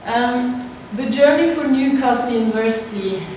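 A woman's voice: on the high side, normal speed, darkish tone. A woman from Norway with a Norwegian accent, giving a conference presentation as a university lecturer, hesitant with many fillers and restarts. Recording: echoey room, distant mic, background noise.

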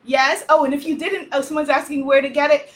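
A woman from Guyana hosting an online spiritual festival on a livestream, loud and energetic, leading chants and cheering on viewers. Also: high-pitched, brisk. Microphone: phone or webcam on a livestream.

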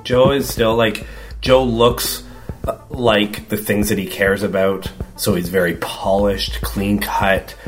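Man, thirties, from Canada, very deep voice, casual rambling, faint music. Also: little pitch movement, takes it slowly. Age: 30s. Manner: casual, rambling, many likes. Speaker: interview guest. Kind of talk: casual interview talk.

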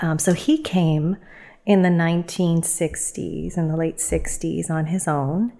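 Middle-aged woman from new york, kinda low voice, chatty and rambling, lots of um and you know. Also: steady tone, a little slow, creaky, warm tone. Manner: reflective, meandering, many fillers and restarts. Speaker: podcast guest. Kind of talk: casual conversational storytelling.

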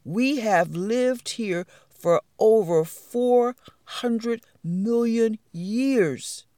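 A storyteller voicing calm, dignified spider elders in a hushed, low voice. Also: extremely slow, no echo, studio quality. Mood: solemn.

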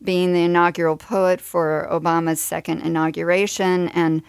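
A woman hosting a conversational radio interview, phrasing her questions in a measured, halting way. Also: on the low side, level tone, creaky, clean tone, dry recording, clean studio sound. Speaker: radio show host.

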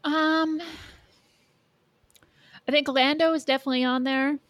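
A woman's voice: high voice, fairly even intonation, a little slow, fairly bright tone, no room sound, studio quality. A woman in casual podcast conversation, informally thinking aloud as a co-host. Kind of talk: casual fan podcast chat.

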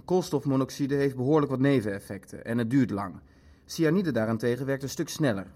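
An actor playing a pragmatic, calculating Nazi official in a radio play, talking in a clinical, matter-of-fact way. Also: male voice, mid-range voice, animated, brisk, darkish tone, natural voice. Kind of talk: Dutch radio play dialogue.

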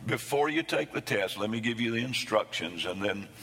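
A man preaching a sermon, his voice hoarse from losing it between services, with a folksy, self-deprecating humor. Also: lowish voice, expressive, normal speed, fairly bright tone, big-room sound. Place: church sanctuary.

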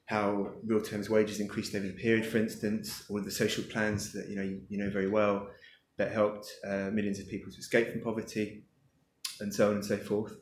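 Low-voiced author in an echoey room. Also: male voice, flat delivery, a little fast, a little hiss or hum. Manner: hesitant, thinks aloud with many fillers. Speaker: author at his book launch. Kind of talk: answering audience questions. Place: lecture room with an audience.

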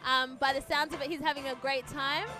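A woman hosting a live radio segment from an outdoor festival. Her voice sits high, and she keeps things upbeat, laughing and repeating her guest's words back to him. Music plays clearly behind her. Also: little pitch movement, brisk. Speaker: radio host. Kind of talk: live on-site radio interview.

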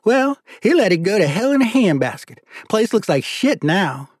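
Narrator playing a folksy judge, emphatic drawl, pitched up high, bitter, cussing, audiobook character, close dry recording. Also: sing-song, moderate pace, husky, no echo. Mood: bitter, indignant.

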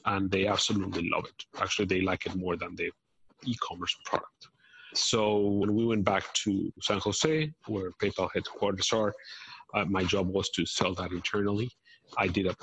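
A man from Mexico City, answering interview questions conversationally, often halting and correcting himself. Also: low voice, fairly bright tone, husky, no room sound, rough recording. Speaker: podcast guest, company founder.

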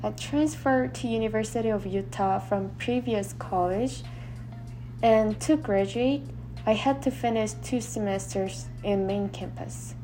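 A woman's voice: medium pitch, a little slow, mellow, faint music behind, rough recording. A woman student who speaks English as a second language, giving brief, careful interview answers. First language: not English.

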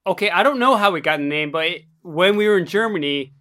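Animated, chatty young woman. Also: fairly low pitch, fast. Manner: animated, chatty, laughs often. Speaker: podcast co-host. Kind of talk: casual chat.

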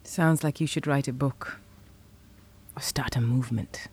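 A woman's voice: lowish voice, very animated, moderate pace, darkish tone. A woman playing a wry, playful, skeptical girlfriend in an audio drama. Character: teasing, skeptical girlfriend, a chocolatier.

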